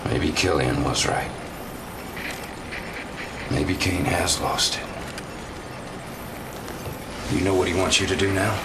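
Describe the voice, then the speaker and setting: An actor playing a weary, doubting field officer in a video game cutscene, talking in a blunt, confiding, colloquial way. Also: male voice, low-pitched, unhurried. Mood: disillusioned. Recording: distant mic, clearly noisy background.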